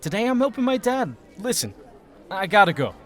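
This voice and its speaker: An earnest teenage team leader played by a man, casual yet insistent. His male voice is very high and animated, as in a radio play. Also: fairly quick, a faint crowd. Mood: evasive, reassuring. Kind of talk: audio drama acting.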